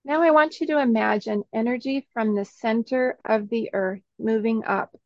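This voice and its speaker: A woman leading a guided meditation in a soothing, instructional way, in short phrases with long pauses between them. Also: on the high side, no room sound, dull audio.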